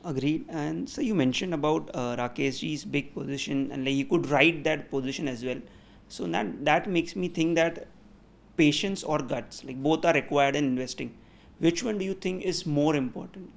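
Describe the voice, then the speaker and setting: A man's voice: on the high side, moderate pace, creaky. A man, hosting and asking short, attentive interview questions. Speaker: host.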